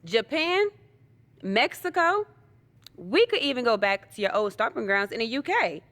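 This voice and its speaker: A woman's voice: on the high side, animated, moderate pace, fairly bright tone, dry recording. A woman playing a confident, swaggering wrestling champion in a video game, brash and playful. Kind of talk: naturalistic video game cutscene acting.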